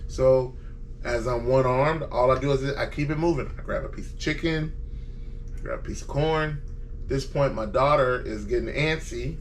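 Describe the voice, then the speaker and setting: A man hosting a casual podcast, telling a story in an animated, defensive, joking way. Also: mid-range voice. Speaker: podcast host. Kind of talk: casual group chat, storytelling. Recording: distant mic, faint room noise.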